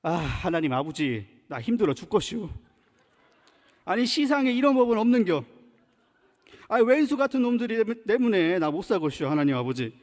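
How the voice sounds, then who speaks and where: Man putting on a rustic praying king, Chungcheong Korean accent, drawling and folksy, climbing high and loud, long church reverb. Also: very animated, moderate pace. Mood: lamenting. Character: humble male king praying in rustic country dialect.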